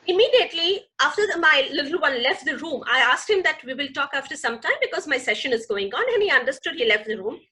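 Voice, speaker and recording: A woman's voice: high voice, pushed and bright, rough recording. A middle-aged woman with an Indian English accent, a native Gujarati speaker, answering a parenting question earnestly and with repeated emphasis. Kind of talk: answering audience questions in an online session.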